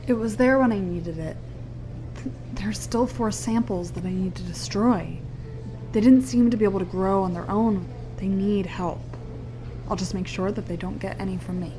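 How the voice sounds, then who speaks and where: A woman's voice: medium pitch, normal speed, dark tone, a little hiss or hum, close to an everyday voice. A woman playing a brave, wry young grad student scientist in an audio drama, urgent in manner. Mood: weary, guarded.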